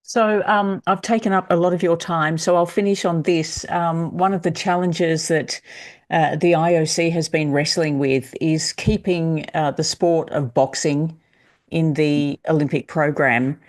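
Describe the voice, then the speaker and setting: A man hosting an interview, asking questions in a measured, polite way. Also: on the high side, steady tone. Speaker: interviewer, host.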